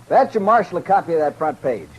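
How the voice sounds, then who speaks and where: Gleeful frontier printer: folksy American Western accent, very animated pitch, boastful, faintly noisy old radio recording. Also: male voice, fast talker, highs cut off. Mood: eager, proud. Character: gleeful, self-satisfied small-town frontier newspaper printer. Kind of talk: 1950s radio western acting.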